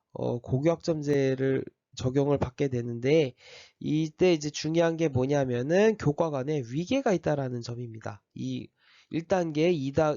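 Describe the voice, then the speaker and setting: A man who teaches high school history and has a slight cold gives a step-by-step orientation lecture to his class, with frequent fillers and restarts. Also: mellow, dry recording, dull audio.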